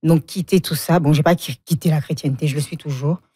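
Woman, low voice, warm tone, dry close sound, frank and reflective, laughs a lot. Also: steady tone, fairly quick, breathy edge, dry recording. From Congo. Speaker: guest. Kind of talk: emotional personal interview.

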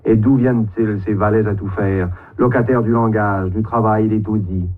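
A man's voice: fairly low pitch, steady tone, normal speed, phone-quality sound. A man reading poetic prose in a solemn, declamatory way, from an archive recording.

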